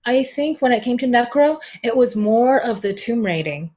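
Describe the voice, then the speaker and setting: A woman's voice: a little high, animated, normal speed, a little mellow. Chatty woman on a dull, lo-fi line. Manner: chatty, laughs often, many fillers. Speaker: guest, novel translator. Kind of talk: casual podcast conversation.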